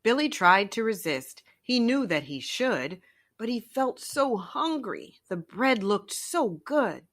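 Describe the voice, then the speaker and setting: A woman storyteller reading a children's picture book aloud in an expressive narrating style. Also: a little high, slow pace.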